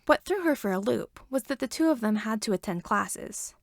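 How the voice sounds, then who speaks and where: A woman's voice: a little high, expressive, moderate pace, creaky, dry recording, clean studio sound. A woman reading a story aloud as scripted narration. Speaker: fan fiction reader.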